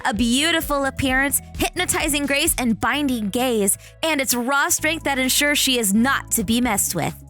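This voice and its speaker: Woman, high voice, bright tone, wry playful narration, soft music underneath. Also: moderate pace, hardly any pauses. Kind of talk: scripted narration.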